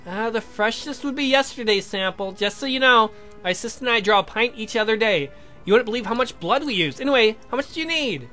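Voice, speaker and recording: Man doing a bright-voiced, bookish young scientist. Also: high voice, lively intonation, fairly quick, soft background music. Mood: matter-of-fact. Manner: precise, formal, inquisitive. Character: curious, polite young male scientist, eager and bookish.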